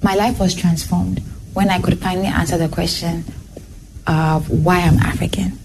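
A young woman guest sharing her own story on a talk show, earnest and animated, her phrases tumbling out with a lot of "like". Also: on the low side, normal speed, noisy background.

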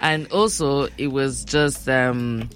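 A Cameroonian singer with a Cameroonian accent, chatting easily as an interview guest and laughing often. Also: male voice, mid-range voice, takes it slowly, fairly bright tone. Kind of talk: casual interview chat.

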